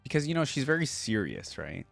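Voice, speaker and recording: A man's voice: medium pitch, huge pitch swings, brisk, creaky, no room sound, soft background music. A man hosting a reaction video with casual, conversational commentary.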